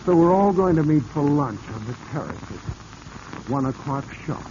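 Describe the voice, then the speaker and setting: An actor playing a smooth, insinuating, commanding male blackmailer in a 1940s radio drama. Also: on the high side, animated, a little slow. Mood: hard, threatening. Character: scheming male blackmailer, smooth, menacing, falsely sweet to women. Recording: muffled, noisy vintage 1940s radio recording.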